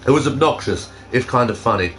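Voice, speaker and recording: A man's voice: medium pitch, lively intonation, fairly quick, a bit bright. A man co-hosting a casual fan fiction reading, with dry teasing, plenty of swearing and an amateur read-aloud style.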